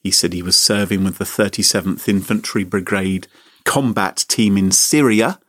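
A man's voice: moderate pace, fairly bright tone. A man hosting a chat show, telling a comic story with theatrical mock outrage. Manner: mock-indignant, theatrical, sweeping pitch. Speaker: podcast host.

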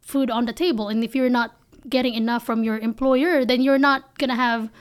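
Female interview guest, Filipino accent, slightly high voice, candid and emphatic storytelling in a conversational style. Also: steady tone, a little fast, clear, resonant tone. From Philippines. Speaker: company founder and CEO, interview guest.

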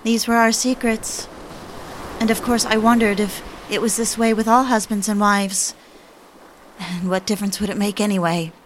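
An actress playing an intense, darkly devoted young wife in an audio drama, with a deliberate, intimate delivery. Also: female voice, medium pitch, level tone, a little hiss or hum, lightly acted. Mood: wistful, intimate. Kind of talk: audio drama acting and first-person narration. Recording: music under the voice.

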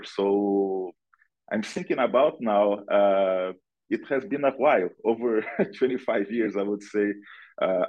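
The deep-voiced Brazilian man you'd hear as a remote guest on an industry podcast, picking his words haltingly over a slightly dull connection. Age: middle-aged. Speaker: podcast guest, analytics director. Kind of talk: conversational interview answers.

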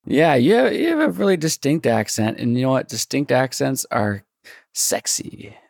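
A man's voice: mid-range voice, big pitch swings, normal speed, vocal fry, darkish tone, no echo, studio quality. A man hosting a casual chat, talkative and eager to recommend things.

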